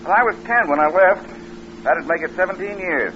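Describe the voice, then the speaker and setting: A man's voice: high voice, expressive, fairly quick, sharp tone. An actor playing a trusting, easygoing motorist in his twenties, in 1940s radio style. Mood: candid, reflective. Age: 20s. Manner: frank, easygoing. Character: candid, trusting young motorist, 27, heir to aunt. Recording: dull, noisy old radio recording.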